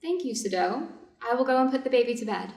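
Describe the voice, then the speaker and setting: A woman's voice: a little high, expressive, fast, a little mellow. A woman playing an anxious, devoted Japanese doctor's wife in a staged reader's theater drama, concerned and questioning. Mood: gentle. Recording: roomy, echoing space.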